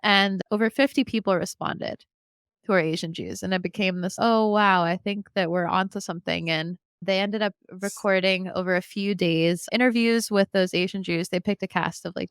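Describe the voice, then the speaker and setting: Young woman organizer, lively storyteller, gravelly fry over a clean dry read. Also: on the low side, dry recording. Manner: animated storyteller, acts out quotes. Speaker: community organizer. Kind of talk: casual podcast conversation.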